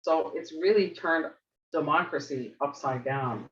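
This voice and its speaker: A man's voice: fairly high pitch, unhurried, fairly bright tone, slight background noise. A man talking in an online panel discussion, conversational and trailing off mid-sentence, on roomy, distant, dull call audio. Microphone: video call.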